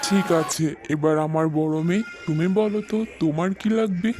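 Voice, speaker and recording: A man's voice: on the high side, a little fast, loud music behind the voice, natural voice. A man voicing a kindly, weary middle-aged father in a cartoon fairy tale, affectionate and plaintive.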